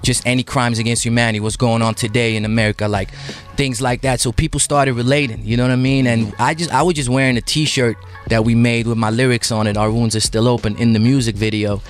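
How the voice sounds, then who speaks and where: A man's voice: medium pitch, steady tone, quick pace, hardly any pauses, soft background music. A man speaking as an interview guest in a casual, conversational storytelling way, with informal slangy phrasing. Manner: informal hip-hop slang, frequent "you know what I mean".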